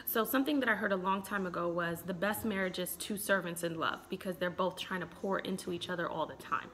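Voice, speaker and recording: A woman in her thirties with an American accent, talking in a casual, expressive way as a relationship expert giving a review. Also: lowish voice, brisk, a little hiss or hum. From Chicago, United States. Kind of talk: casual vlog commentary. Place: small room indoors at home.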